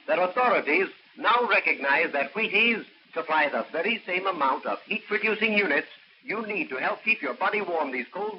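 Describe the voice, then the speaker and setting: A man's voice: high-pitched, slight background noise. A man reading an old radio commercial to children in a friendly, coaxing way, on a dull old recording. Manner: friendly, coaxing, talks to kids. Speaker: radio announcer. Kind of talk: 1930s radio ad read.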